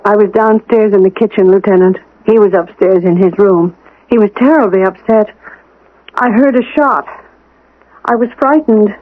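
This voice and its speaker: A woman's voice: mid-range voice, normal speed, phone-quality sound, lightly acted. Actress as a cool, insistent woman, thin old recording. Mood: guarded, innocent-seeming. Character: cool, insistent, accusing woman, secretly vengeful housekeeper. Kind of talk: old-time radio drama acting. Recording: thin, noisy vintage radio recording.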